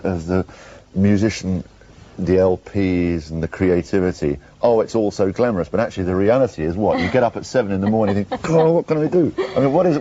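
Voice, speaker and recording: A man's voice: lowish voice, warm tone. A man interviewing on morning TV, playful and chatty with theatrical asides. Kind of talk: breakfast TV interview. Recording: dull lo-fi broadcast tape.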